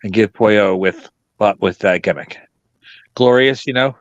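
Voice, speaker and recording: A man's voice: on the low side, normal speed, dry recording. Wisecracking man on a dull dry mic. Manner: jokey, deadpan wisecracks. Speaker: podcast co-host. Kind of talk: casual joking chat.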